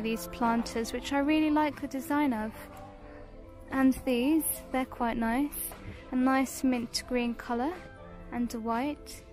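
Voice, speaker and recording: A British woman with a high voice talks through products for a casual shopping vlog. She moves slowly from item to item in short, simple descriptions, with music playing behind her. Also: a little mellow. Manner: short descriptive phrases, listing items. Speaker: shopping vlogger. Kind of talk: casual shop-with-me product showcase narration.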